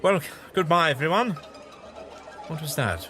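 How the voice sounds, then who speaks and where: A man's voice: a little high, sing-song, unhurried, sharp tone, faint music behind, heavily acted. A man playing an eccentric, fussy, kindly time-travelling wanderer in an audio drama, with a hesitant, fluttery manner. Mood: jumpy, distracted.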